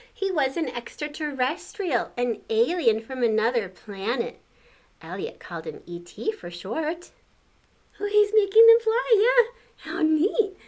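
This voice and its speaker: Older woman reading aloud, slow and expressive. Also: fairly high pitch. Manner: exclaims and chats about the pictures, asks questions. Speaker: grandmother reading a picture book to children. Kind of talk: expressive read-aloud storytelling with asides to young listeners.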